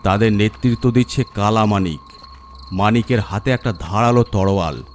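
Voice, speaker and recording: A man's voice: lowish voice, moderate pace, slight background noise. A man narrating a scripted horror story in a dramatic storytelling style.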